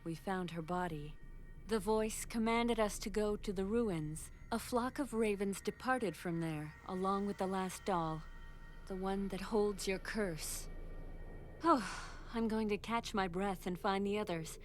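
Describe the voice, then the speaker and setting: A woman's voice: mid-range voice, unhurried, a little hiss or hum, close to an everyday voice. A woman playing a tender, determined young sister in a dramatic fantasy adventure game. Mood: grave, determined. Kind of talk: dramatic video game acting.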